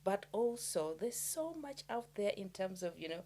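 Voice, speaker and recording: A woman from Uganda co-hosting a podcast, speaking reflectively and haltingly with many pauses and fillers. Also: medium pitch, sing-song, dark tone. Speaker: podcast co-host. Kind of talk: casual chat.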